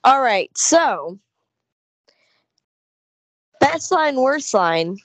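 A woman co-hosting a podcast, casually chatting about a film and briskly moving the segments along. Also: high-pitched, very animated, a bit bright, no echo. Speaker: podcast co-host.

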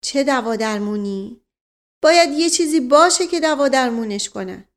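A woman's voice: sits high, lively intonation. A woman voicing a timid, plaintive country man in an audiobook, speaking with resignation.

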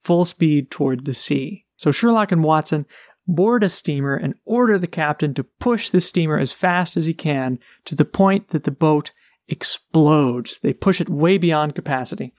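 Podcast co-host, male, higher voice, lively plot recap, dry mic. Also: moderate pace, no echo. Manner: animated retelling of a plot. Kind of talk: casual book discussion.

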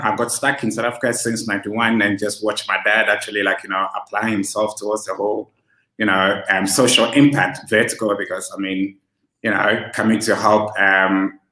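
A man in his thirties from Ghana, a relaxed interview guest who talks with many fillers. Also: lowish voice. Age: 30s. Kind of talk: casual interview over a video call. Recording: roomy echo.

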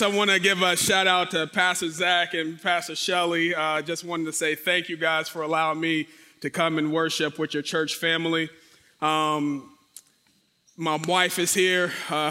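A man, a visiting associate pastor, preaching loudly and energetically in a church sanctuary, often calling out questions to the congregation. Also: a little high, moderate pace, clear, resonant tone. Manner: loud, energetic, calls out to the congregation. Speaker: associate pastor, guest speaker. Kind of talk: sermon with crowd interaction.

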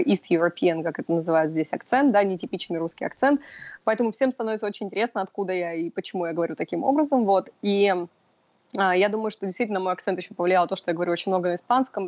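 A woman's voice: mid-range voice, darkish tone, dry recording. A young woman from Russia, a podcast guest, talking in a thoughtful, casual way with many fillers. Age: young adult. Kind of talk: casual interview talk.

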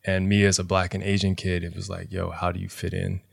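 A young man, a teacher, speaking as a podcast guest in a soft, reflective way, with many fillers like "you know". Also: deep voice, monotone, a little fast, creaky, no echo, clean studio sound. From Los Angeles area, US. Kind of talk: reflective interview talk.

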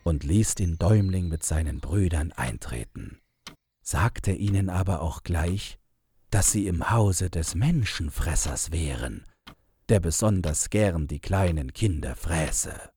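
Male narrator, very low voice, dark mellow tone, measured fairy-tale storytelling. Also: lively intonation, a little hiss or hum.

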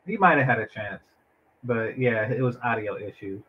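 A man's voice: mid-range voice, expressive, moderate pace, highs cut off, a little hiss or hum. A man reviewing a dating show with sarcastic, mocking commentary. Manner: mocking, mimics others.

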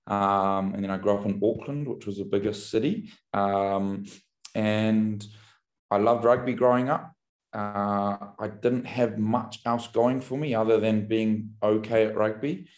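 A man, telling his story as a podcast guest in a candid, reflective way with many pauses and restarts. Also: fairly low pitch, steady tone, darkish tone. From New Zealand. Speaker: podcast guest, brand founder. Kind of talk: casual interview storytelling.

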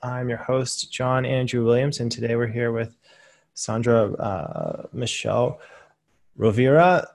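A man with a gravelly voice hosts a coaching podcast. He fills his questions with many "uh"s and answers his guest with a steady run of affirming "right"s. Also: medium pitch, a little slow. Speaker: podcast host, coach trainer. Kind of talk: podcast interview hosting.

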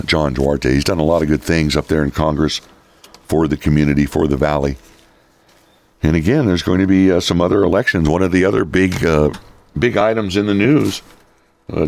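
A male talk radio host with a raspy voice, giving opinionated political commentary. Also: deep voice. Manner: opinionated, reads quotes then rebuts them. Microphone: studio microphone.

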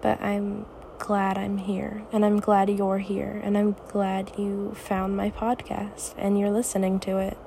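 A teenage girl hosting her own podcast, talking softly and reassuringly in a raspy, tired voice late at night. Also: mid-range voice, completely flat delivery, measured pace, few pauses, a little hiss or hum. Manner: soft, reassuring, hesitant. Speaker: podcast host. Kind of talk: personal podcast monologue.

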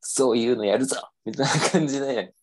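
A man's voice: mid-range voice, fast, darkish tone. A young man casually telling a self-mocking story on a podcast, with lots of fillers.

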